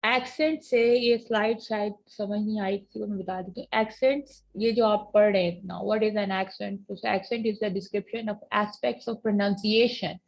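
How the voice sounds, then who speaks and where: Woman teaching a class online, bit of vocal fry, dull low-quality sound. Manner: explains in a mix of Hindi and English, reads slide definitions slowly.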